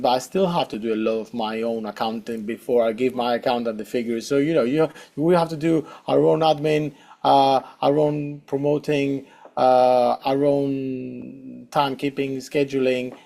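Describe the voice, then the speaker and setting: A man's voice: mid-range voice, steady tone, normal speed, gravelly, a little mellow. A middle-aged man with a Greek accent, answering interview questions hesitantly with many fillers and restarts. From Greece. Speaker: guest saxophonist.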